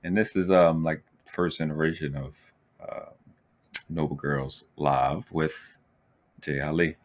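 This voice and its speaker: A man with a deep voice hosts a livestream. He talks in loose, slangy phrases, addressing guests and viewers conversationally as the show's host. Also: steady tone, a little slow. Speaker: live show host. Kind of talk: casual livestream chat.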